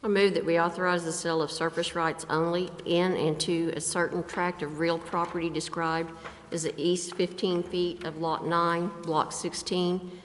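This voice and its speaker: A woman's voice: on the low side, flat delivery, moderate pace. A woman serving as a council member, formally reading motions in a reverberant chamber. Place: city council chamber, indoors. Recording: reverberant hall, faint noise.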